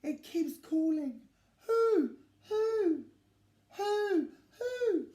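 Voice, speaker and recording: Guy doing a nervy little mouse boy from a kids story, whiny pleading, way up high, swoopy. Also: big pitch swings, very slow, warm tone, heavily acted. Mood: frightened. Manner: plaintive, stammering when scared, exaggerated. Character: small, frightened, pleading young male mouse. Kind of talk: children's storybook character voice. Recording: echoey room.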